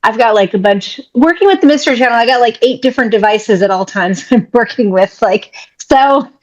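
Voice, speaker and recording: A woman co-hosting a casual live chat, quick to agree and often giggling. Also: fairly high pitch, animated, lo-fi sound. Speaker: co-host and community manager.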